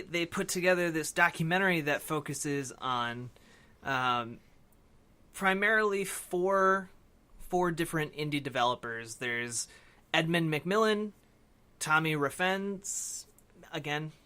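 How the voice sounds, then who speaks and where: A man's voice: on the high side, sharp tone, clean tone. A man hosting a podcast, talking casually about films in a conversational way. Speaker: podcast host. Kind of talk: casual film discussion.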